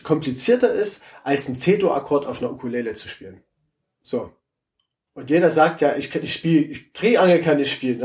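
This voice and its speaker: A man's voice: a little high, darkish tone. A ukulele builder talking enthusiastically at a festival, often restarting and repeating words as he answers interview questions. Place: outdoor music festival.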